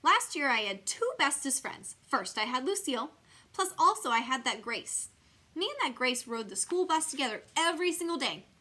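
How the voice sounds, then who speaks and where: Woman as a dramatic, bright, excitable little girl. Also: sits high, moderate pace, pitched above the performer's own voice, exaggerated voice. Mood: grumbling, confiding. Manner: childish exclamations and quirky grammar. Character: dramatic, bossy, excitable six-year-old girl telling her story. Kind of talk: animated children's storybook character voice.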